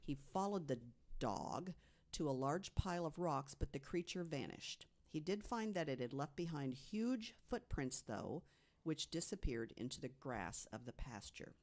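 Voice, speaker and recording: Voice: mid-range voice, sing-song, unhurried, darkish tone. Podcast storyteller reading out strange accounts. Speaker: podcast panelist telling researched stories. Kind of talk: reading prepared true-mystery stories with conversational asides.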